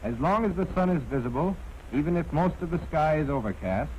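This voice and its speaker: An American man reading scripted 1940s training film narration in a measured, formal, instructive manner, on an old film soundtrack. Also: a little high, lively intonation, darkish tone, lo-fi sound, a little hiss or hum.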